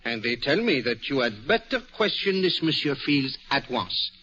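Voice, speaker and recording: An actor in a 1940s radio mystery playing a shrewd, courtly, self-assured detective with a French accent, speaking precisely and theatrically. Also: male voice, medium pitch, sing-song, normal speed, loud music behind the voice, lightly acted. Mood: confident, explanatory. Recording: muffled vintage 1940s radio recording.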